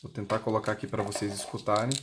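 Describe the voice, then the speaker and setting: A man's voice: fairly low pitch, level tone, fast. Brazilian man demonstrating, noisy recording. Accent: Brazilian Portuguese. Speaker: intercom seller giving a product demonstration. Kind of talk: unscripted explanatory tutorial, demonstrating step by step. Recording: clearly noisy recording with clicks.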